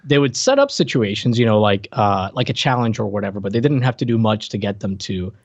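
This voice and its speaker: A man's voice: lowish voice, animated, brisk, vocal fry. A man co-hosting a podcast, chatting casually with a wry, joking manner about a show. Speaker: podcast co-host. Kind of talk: casual conversational show commentary. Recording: roomy, slightly dull lo-fi audio.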